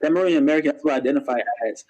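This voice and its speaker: A young man on a podcast, making his point in a quick, assertive, matter-of-fact way. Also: fairly high pitch, a little mellow, low-quality recording. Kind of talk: casual discussion.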